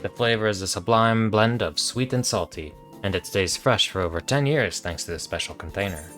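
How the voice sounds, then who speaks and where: Guy streaming a game read-aloud, lowish monotone voice, bit of music in the back. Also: a little fast. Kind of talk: read-aloud of video game dialogue with casual asides.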